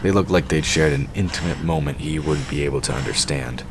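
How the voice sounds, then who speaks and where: Very deep male narrator, noisy recording. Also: fairly quick. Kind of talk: scripted fantasy story narration.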